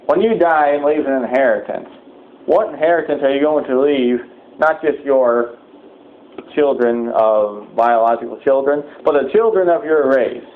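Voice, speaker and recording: A man preaching a sermon-like address in a solemn, exhorting manner, with halting hesitations as he goes. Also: mid-range voice. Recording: thin phone-like sound, clear background noise.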